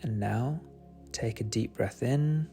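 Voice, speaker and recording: A man guiding a meditation in a soothing, gentle and unhurried manner. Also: mid-range voice. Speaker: meditation guide. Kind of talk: guided meditation narration. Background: soft meditation music.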